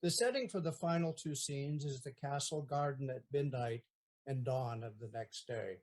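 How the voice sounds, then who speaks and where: A narrator reading stage directions in an audio play in a plain, measured way. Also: mid-range voice, a little mellow.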